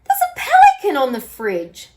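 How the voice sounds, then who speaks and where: Woman acting a shrill, scolding storybook mum. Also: huge pitch swings, normal speed, noisy background. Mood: astonished. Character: shocked, scolding mother of a young boy. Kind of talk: children's storybook character voice.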